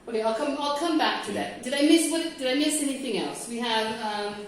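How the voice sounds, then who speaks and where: A woman's voice: high-pitched, a little fast, a little hiss or hum. A woman from California leading a classroom discussion as an English teacher trainer, chatty and checking in with her group. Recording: roomy echo, mic from a distance.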